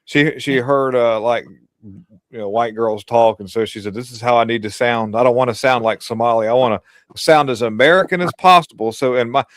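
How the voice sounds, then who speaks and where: A man's voice: mid-range voice, fairly quick, a bit bright, no echo. Blunt, crude male livestream host. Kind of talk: casual political commentary.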